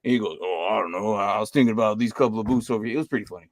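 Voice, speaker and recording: A man's voice: on the low side, very fast, dry recording. A man hosting a fantasy football podcast, chatting casually and reading things aloud with a chuckle. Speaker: podcast host. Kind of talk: casual chat.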